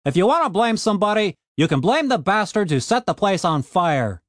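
A man's voice: sits high, very animated, fairly quick, dry recording, clean studio sound, voice pushed up. A man voicing a crippled male gnome innkeeper who talks bluntly and swears a lot. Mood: angry.

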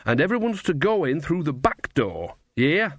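Man putting on a bossy, high-pitched old witch. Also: very animated, normal speed, no room sound. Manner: rustic dialect, scolding and chatty. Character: bossy, cheerful old village witch mother, Nanny Ogg.

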